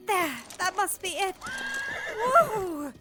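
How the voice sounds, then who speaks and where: Woman playing a high-voiced, proud young princess. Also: takes it slowly, over music. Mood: excited. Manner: theatrical, exclaiming and musing aloud to herself. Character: young princess, proud, curious, stubborn and scholarly. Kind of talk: audio drama acting.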